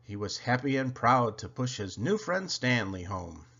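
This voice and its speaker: A man reading a children's picture book aloud in an expressive, emphatic storytelling way. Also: medium pitch, measured pace. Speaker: storybook reader. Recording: dull, lo-fi home recording.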